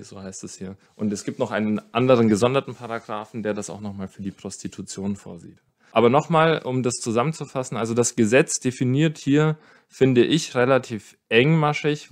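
A man with a fairly low voice gives expert explanations in a podcast interview. He talks conversationally, working out his points as he goes. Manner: conversational, thinking aloud as he explains. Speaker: lawyer, expert guest.

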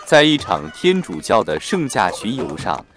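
A man narrates a prepared plot recap. His voice sits on the low side. The intonation is very animated, rising and falling widely as he reads. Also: moderate pace, a little hiss or hum.

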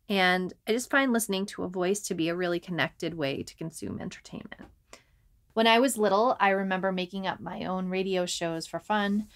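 A woman co-hosts a podcast. Her voice sits at a middle pitch, and she talks in a conversational way, as one explaining her work to listeners. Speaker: podcast co-host and writer.

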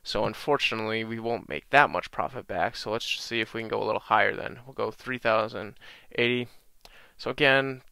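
A young man with a slight cold-raspy voice, casually walking through a video game tutorial with lots of ums. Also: fairly low pitch, brisk, dry recording. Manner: relaxed, many ums and uhs, talks through menus. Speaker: gaming channel host.

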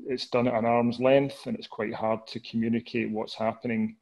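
A man's voice: medium pitch, level tone, gravelly, a little mellow. Glaswegian man on a headset call. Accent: Glasgow Scottish. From Scotland. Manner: earnest, measured, many filler pauses. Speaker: audience member asking a question. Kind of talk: video call question. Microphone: headset on a video call.

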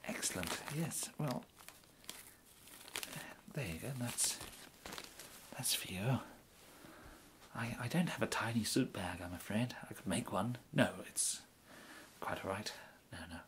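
A man in his thirties with an English accent playing a polite, fussy gentleman tailor in an ASMR roleplay, murmuring hesitantly and often slipping into a breathy whisper. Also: fairly low pitch, lively intonation, a little slow, pauses often, background noise. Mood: courteous, pleased. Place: indoors, plain small room, close to camera.

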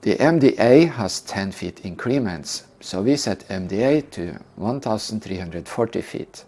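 Older guy with a lowish voice, calm measured teaching tone, indoors. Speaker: flight instructor. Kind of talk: scripted aviation lesson. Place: indoor room.

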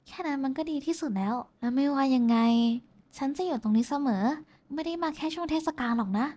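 A woman's voice: sits high, a little fast, a little mellow, natural voice. A woman playing a shy, affectionate young woman in an intimate roleplay, talking casually and hesitantly to someone special. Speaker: VTuber voice actress. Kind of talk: intimate roleplay voice pack addressed to the listener.

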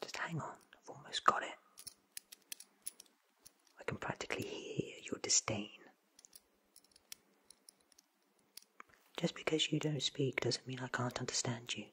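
A man in his thirties playing an eccentric, wry time-travelling inventor in a whispered, deliberate ASMR roleplay, close to the mic. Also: mid-range voice, sharp tone, husky. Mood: wry, nostalgic. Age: 30s. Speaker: ASMR performer. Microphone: close mic in front of him.

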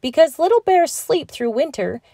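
A woman doing a gentle little snowman. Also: sits high, expressive, normal speed, dry recording, clean studio sound, voice pushed up. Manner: gentle, reassuring. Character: friendly cheerful little snowman, gentle and kind.

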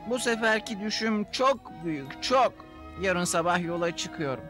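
A man's voice: sits high, expressive, moderate pace. A man voicing a small, dreamy cartoon creature who longs for adventure, earnest and wistful. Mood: wistful, determined. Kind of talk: cartoon dub acting. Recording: loud music behind the voice.

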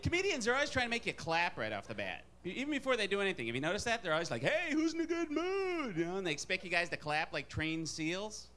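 A man from Wisconsin doing stand-up in a comedy club, with a nasal Wisconsin accent and an animated delivery full of put-on voices. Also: high voice, quick pace, few pauses. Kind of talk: stand-up set. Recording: live club with crowd and noise. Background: audience laughter.

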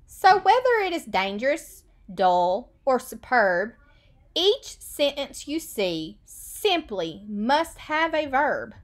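A woman in her thirties, an elementary school teacher, reading a picture book lesson to children in a deliberate sing-song way, recorded indoors on a computer webcam. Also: high-pitched, very animated.

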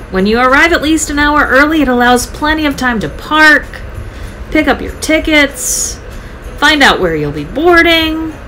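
A woman's voice: a little high, pushed and bright, low-quality recording. Upbeat woman narrating a travel vlog. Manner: upbeat, chatty, enthusiastic. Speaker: travel vlogger. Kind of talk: scripted voice-over narration.

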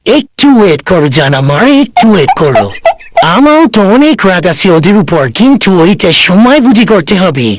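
A man's voice: sits high, big pitch swings, normal speed, background noise. A man voicing a fawning elderly politician in a comic cartoon, sweet-talking and pleading to placate a lover. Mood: wheedling. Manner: wheedling, sweet-talking with pet names.

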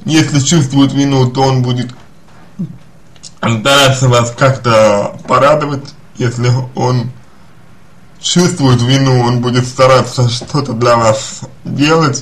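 Slow, halting man giving advice. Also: medium pitch. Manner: halting, deliberate, repeats and rephrases phrases. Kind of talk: spoken advice to a listener, unscripted.

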